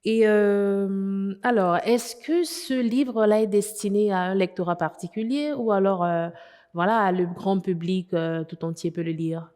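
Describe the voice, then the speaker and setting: A woman's voice: medium pitch, a little slow, mellow, smooth, long reverb tail. A woman hosting a TV interview, introducing a guest and asking rambling questions full of fillers. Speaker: interviewer host.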